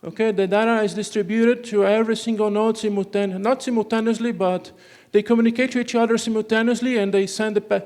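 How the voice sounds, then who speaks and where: A man's voice: high voice, fairly even intonation, a little mellow. A man from Brazil, a native Portuguese speaker with a Brazilian accent, giving a technical conference talk, often breaking off and restarting words and tagging lines with "okay". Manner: halting, restarts words, tags sentences with "okay". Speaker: conference presenter. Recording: hall reverb.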